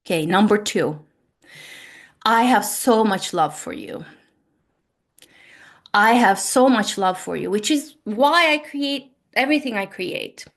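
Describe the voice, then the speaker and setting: Woman, slow unhurried delivery, middling pitch, earnest and gentle, repeats phrases for emphasis. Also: animated. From Turkey. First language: Turkish. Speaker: spiritual healer and podcast host. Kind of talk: spiritual monologue to listeners. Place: home. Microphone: microphone on a laptop.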